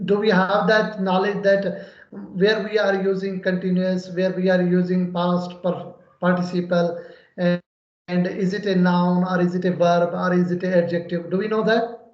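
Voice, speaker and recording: A man whose first language is Urdu, an English teacher, explaining in an earnest way with many pauses and fillers. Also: high voice. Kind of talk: casual conversation practice. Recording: echoey room, dull lo-fi audio.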